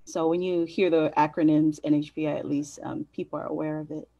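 Low-voiced woman on a dull video call. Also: flat delivery, a little fast, no echo. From American Samoa and Hawaii. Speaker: interview guest, census partnership specialist. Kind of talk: conversational interview answers.

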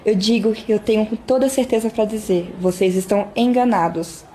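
A woman's voice: medium pitch, fairly quick, mellow, slight background noise, close to an everyday voice. A woman playing an emphatic, wronged young wife in an amateur radio drama. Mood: firm, aggrieved. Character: young wronged wife, insecure turned defiant.

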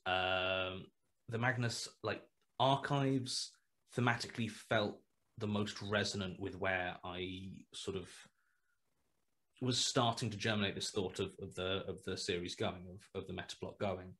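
A man's voice: low-pitched, steady tone, a little slow, a bit bright. A man in his thirties talking on a video call through a headset, thinking aloud with many fillers, restarts and hesitations. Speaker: guest writer. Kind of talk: casual video call interview. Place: small room at home.

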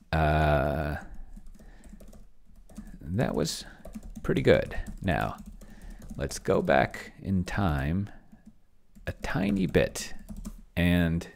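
Low-pitched middle-aged man, thinking aloud. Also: gravelly, slight background noise. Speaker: solo role-playing streamer. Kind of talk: casual livestream commentary while typing. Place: small cluttered home room, indoors.

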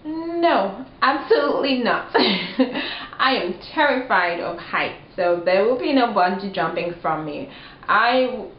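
A woman's voice: mid-range voice, expressive, slow pace. A young woman from Cameroon chatting casually and expressively to camera as a video blogger, in an informal, emphatic way. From Cameroon, also lived in Kenya and Rwanda. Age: young adult. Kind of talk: casual chat to camera, answering tag questions. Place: small living room, indoors. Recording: dull, roomy home recording with faint noise.

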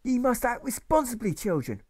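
A man voicing a furious, authoritarian old schoolteacher who yells and rants in old-fashioned speech. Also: very high-pitched, very animated, moderate pace, slightly rough, voice pushed up, exaggerated voice. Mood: ranting. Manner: ranting, yelling, archaic speech.

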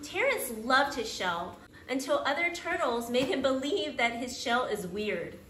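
A woman's voice: sits high, sing-song, unhurried, fairly bright tone, a little hiss or hum. A woman reading a children's picture book aloud expressively, acting out exclamations and sound effects. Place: living room at home.

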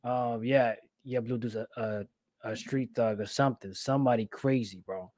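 A man's voice: mid-range voice, steady tone, normal speed, a little mellow, no echo. A young man giving casual, slangy live reactions in short interjections into a close desk microphone. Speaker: reaction streamer. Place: small indoor room with green screen. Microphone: close desk microphone, headphones on.

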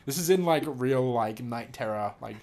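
Man, incredulous amateur reader, animated pitch, sweary and prone to giggles. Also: moderate pace. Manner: giggly, laughs through his reading. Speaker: host reading a story aloud. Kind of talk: casual reading with amused commentary.

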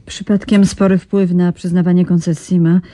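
An actress playing a persistent, self-assured investigative journalist in a radio drama, probing and ironic. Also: female voice, fairly low pitch, little pitch movement, moderate pace, warm tone, dry recording, close to an everyday voice. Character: persistent female investigative journalist, shrewd and cool. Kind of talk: 1990s radio drama acting.

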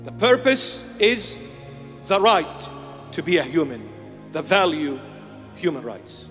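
A man's voice: a little high, big pitch swings, takes it slowly, a bit bright, in a large hall, soft background music. An older man, born in Iraq, preaching an emphatic sermon into a handheld microphone in a small church, repeating key phrases. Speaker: bishop. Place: small church with altar and candles.

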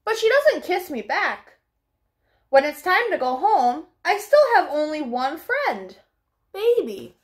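A young woman reading a children's storybook aloud in an expressive, deliberate, child-directed way. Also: sits high. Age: young adult. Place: small furnished living room at home.

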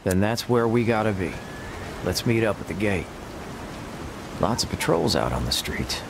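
Deep-voiced, terse American gunslinger. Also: male voice, big pitch swings, moderate pace, vocal fry. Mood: businesslike. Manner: curt, dry, stubborn. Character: terse, determined male cowboy gunslinger. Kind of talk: video game cutscene acting. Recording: loud rain noise behind voice.

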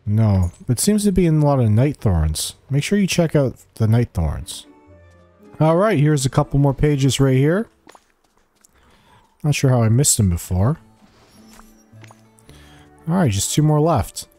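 Man, mellow relaxed tone, casual gameplay walkthrough, short thinking-aloud remarks, music behind. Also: mid-range voice, a little slow, long pauses. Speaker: gamer giving a quest walkthrough.

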